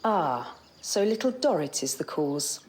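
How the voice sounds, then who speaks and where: Actress as animated, concerned Victorian wife. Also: female voice, low-pitched, measured pace. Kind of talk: audio drama acting. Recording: clear background noise, clinking dishes.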